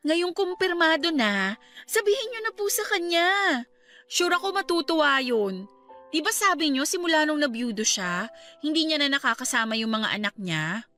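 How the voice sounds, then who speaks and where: A woman playing a caring, excitable young niece, polite and emphatic, in a radio drama. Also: high-pitched, normal speed, faint music behind, heavily acted. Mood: earnest. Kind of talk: Tagalog radio drama acting.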